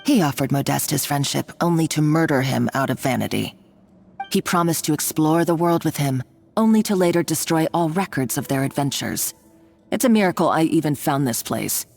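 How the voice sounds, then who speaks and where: Game villainess: low-pitched, sneering and theatrical, lively swings in tone, soft score underneath. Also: female voice, normal speed, creaky, faint music behind, full character voice. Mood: mocking. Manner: mocking, condescending, bossy. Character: scheming, taunting woman villain, cruel and gloating. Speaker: game character. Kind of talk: video game voice acting.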